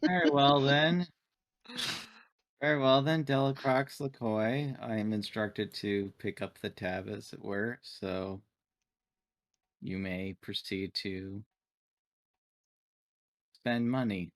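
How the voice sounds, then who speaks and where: A man voicing a stiff, overly formal male caretaker character with measured, literal-minded phrasing, over a voice call mic. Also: fairly low pitch, fairly even intonation. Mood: stiffly formal. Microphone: online voice call mic.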